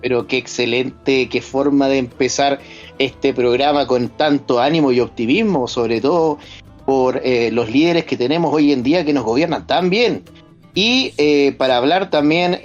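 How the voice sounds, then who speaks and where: A man's voice: medium pitch, highs cut off. A man with a Chilean accent hosting a podcast, introducing his guests in an upbeat, ironic way. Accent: Chilean Spanish. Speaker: podcast host.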